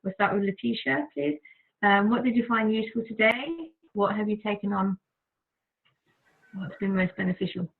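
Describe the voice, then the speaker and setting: A woman leading an online group course over a video call, talking in a gentle, soothing way. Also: mid-range voice, fairly even intonation, moderate pace, vocal fry. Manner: gentle, soothing, laughs easily. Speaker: course facilitator. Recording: tinny phone-like call audio.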